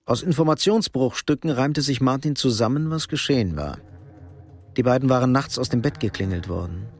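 A man narrating a radio crime drama in a measured, matter-of-fact way. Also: mid-range voice, creaky. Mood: sober. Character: sober third-person narrator of a crime story.

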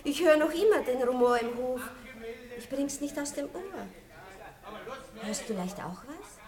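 A woman's voice: high-pitched, expressive, measured pace, a crowd in the background. An actress playing an elegant aristocratic lady who is tender, indulgent and teasing toward a younger lover, in a 1960s radio play. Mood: dreamy, uneasy. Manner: languid, affectionate, aristocratic stage diction. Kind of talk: 1960s German-language radio play acting. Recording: roomy, hissy old radio recording.

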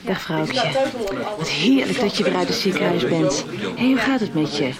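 Radio soap: actress as caring, talkative doctor, animated delivery amid loud party hubbub. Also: female voice, on the low side, moderate pace, natural voice. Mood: friendly, caring. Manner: chatty, solicitous. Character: friendly, chatty female doctor and family friend. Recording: loud crowd chatter behind.

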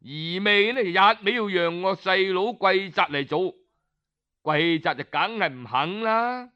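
A man telling historical tales in Cantonese in an expressive, colloquial traditional storytelling style. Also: high voice, unhurried, smooth. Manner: expressive, colloquial, with rhetorical interjections. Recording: dull lo-fi audio.

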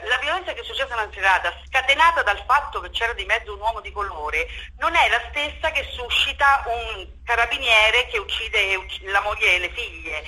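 A woman's voice: a little high, lively intonation, brisk, few pauses, pushed and bright, rough recording. A woman speaking spontaneously and emphatically as an interview guest, repeating words and tagging phrases with "no?". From Marche, Italy. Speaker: theatre director, interview guest.

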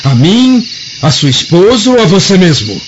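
Stern senator, sharply swinging pitch. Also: male voice, sing-song, moderate pace, background noise. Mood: stern, accusing. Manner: sarcastic, interrogating. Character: stern, suspicious Roman senator, a father-in-law. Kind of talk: radio drama acting. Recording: muffled radio drama with night crickets.

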